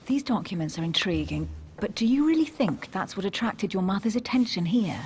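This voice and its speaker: Actress as cool, sardonic aristocratic woman, lively intonation. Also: mid-range voice, brisk, a little hiss or hum, close to an everyday voice. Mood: intrigued. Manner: nonchalant, dry, sardonic. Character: cool, skeptical, learned young aristocratic woman. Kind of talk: video game cutscene acting.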